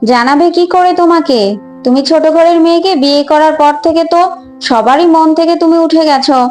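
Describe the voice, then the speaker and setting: A woman voicing a sharp-tongued, scheming eldest sister-in-law in a Bengali family cartoon. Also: extremely high pitch, brisk, faint music behind. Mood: scornful, indignant.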